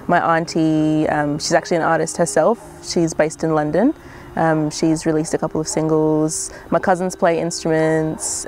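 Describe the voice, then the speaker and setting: A young woman from Zimbabwe, a singer-songwriter, speaking reflectively in an interview, often pausing with "um" and "sort of". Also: low-pitched, darkish tone, a little hiss or hum. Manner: thoughtful, hedging with frequent "um" and "sort of".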